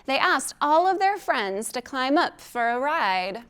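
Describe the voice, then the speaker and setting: A woman's voice: sits high, big pitch swings, unhurried, fairly bright tone. A woman hosting a children's storytime as a librarian, reading aloud expressively and putting questions to young listeners.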